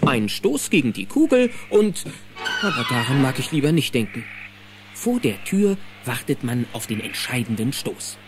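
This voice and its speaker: Male audio-play narrator: suspenseful, lively intonation, music underneath. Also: medium pitch, normal speed. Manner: vivid, suspenseful present-tense telling. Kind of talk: dramatic scripted storytelling in German.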